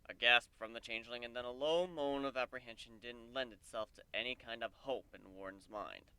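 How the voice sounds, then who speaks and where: A man's voice: mid-range voice, fairly quick, pushed and bright, clear, resonant tone, no room sound. A man reading a story aloud as narrator, in the style of a dramatic audiobook. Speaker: narrator, fan fiction reader.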